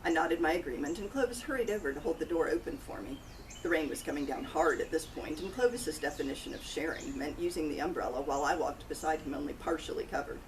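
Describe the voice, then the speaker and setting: A woman's voice: low-pitched, quick pace. A woman author reading first-person narration from her own cozy mystery novel. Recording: distant mic, loud outdoor noise, birds, crickets.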